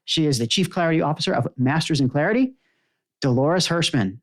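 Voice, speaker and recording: A man's voice: fairly high pitch, lively intonation, brisk. Man hosting a podcast. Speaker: podcast host. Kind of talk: casual conversational podcast hosting.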